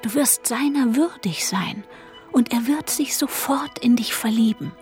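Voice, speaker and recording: An actress as an earnest teenage girl, driven to discipline herself and full of romantic ambition. Her female voice is fairly high, speaking as an inner voice in a literary radio drama. Soft music plays underneath. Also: measured pace, natural voice. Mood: dreamy, coaxing.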